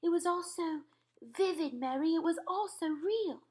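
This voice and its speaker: A woman's voice: very high-pitched, measured pace, darkish tone, pitched above the performer's own voice. A woman reading aloud and voicing a refined, upper-class queen who exclaims and gives orders. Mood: distressed. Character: refined elderly queen, composed yet shaken.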